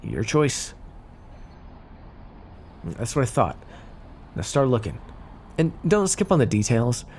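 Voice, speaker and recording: A man's voice: mid-range voice, wildly swooping pitch, takes it slowly, long pauses, a little mellow, a little hiss or hum, heavily acted. A man playing a smug, flirty, scheming mafia boss in an ASMR roleplay, teasing and theatrical. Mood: smug, commanding. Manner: teasing, smooth-talking, theatrical. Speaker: voice actor in audio roleplay. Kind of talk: ASMR roleplay acting, addressed to listener.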